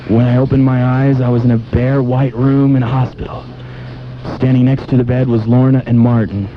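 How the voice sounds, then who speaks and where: A man's voice: mid-range voice, little pitch movement, a little fast, background noise, natural voice. An actor playing an obsessive, impassioned male pianist in old-time radio style, with a melodramatic, insistent delivery. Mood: brooding narration. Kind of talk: old-time radio drama acting with first-person narration. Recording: dull 1990s AM radio aircheck with music.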